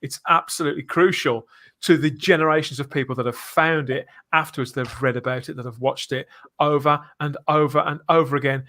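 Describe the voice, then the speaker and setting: A man's voice: a little high, fairly even intonation, brisk, bright, forceful tone. A man hosting a live fan podcast, talking in an earnest, emphatic way. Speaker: podcast host.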